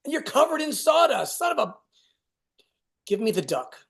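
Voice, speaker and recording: A man's voice: extremely high pitch, sing-song, gravelly, a bit bright, heavily acted. A man playing a stern, short-tempered father hectoring his child in a staged play reading. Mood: exasperated, angry. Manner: hectoring, rapid-fire questions.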